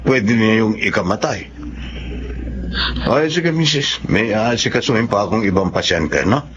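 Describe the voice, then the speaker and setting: Casting: man for serious Filipino doctor in melodrama, lowish pitch, faintly noisy lo-fi audio. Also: animated, measured pace. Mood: grave, brisk. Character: serious, businesslike male hospital doctor. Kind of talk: Filipino drama acting. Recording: dull lo-fi audio with light noise.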